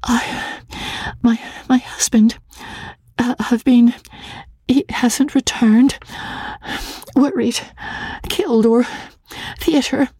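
A woman's voice: fairly high pitch, steady tone, extremely slow, long pauses, dark tone, breathy edge, no echo, pitched above the performer's own voice, full character voice. Woman voicing a stammering tearful young bride. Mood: anxious, pleading. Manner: stammering breathless whisper.

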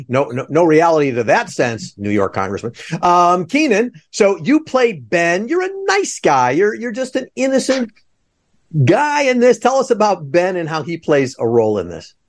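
A man's voice: sits high, wildly swooping pitch, normal speed. A man hosting a podcast interview with enthusiasm and joking asides. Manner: jokey asides. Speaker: podcast host.

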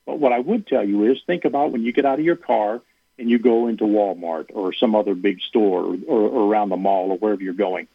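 A man's voice: fairly low pitch, fairly quick, creaky, breathy edge, no echo. A man who is a university athletic director, speaking as a guest in a radio interview, earnest and given to repeating words as he starts a thought. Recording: tinny phone line.

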